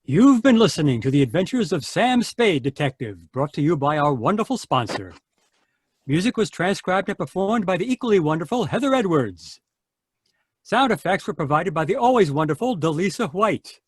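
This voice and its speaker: High, husky, animated male radio announcer. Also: normal speed, dry recording. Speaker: announcer, cast member. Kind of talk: old-time radio announcing, scripted credits.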